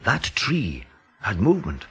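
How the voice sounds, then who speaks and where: An actor playing a sceptical, uneasy gentleman in an audio drama, with a husky voice and a halting, intense delivery. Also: fairly low pitch, huge pitch swings, slight background noise, heavily acted. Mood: haunted. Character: sceptical, uneasy male gentleman named Lewis.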